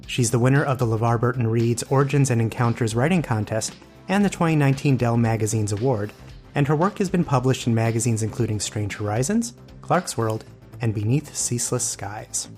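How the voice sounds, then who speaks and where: Mid-pitched man presenting over music. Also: fairly quick, a little mellow. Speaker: podcast presenter. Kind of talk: scripted podcast introduction.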